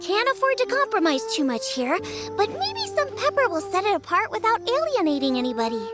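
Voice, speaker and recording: A woman's voice: extremely high pitch, huge pitch swings, a little fast, over music, exaggerated voice. A woman voicing a spirited, eager teenage girl chef in a video game, bubbly and often thinking aloud to herself. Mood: musing. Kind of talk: animated game character acting.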